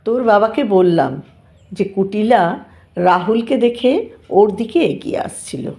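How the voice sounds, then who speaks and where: Lady doing a homely middle-aged village mother, audio story, gravelly and soft-toned, firm motherly talk. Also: mid-range voice, lively intonation, a little slow. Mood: motherly, concerned. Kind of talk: Bengali audio drama acting.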